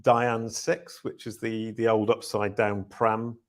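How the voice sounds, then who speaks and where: Host, fifties, from northern England; low voice, chatty and anecdotal on a video call. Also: male voice, flat delivery, takes it slowly. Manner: chatty, anecdotal, uses analogies. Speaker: podcast host. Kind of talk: casual interview chat. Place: home room, indoors.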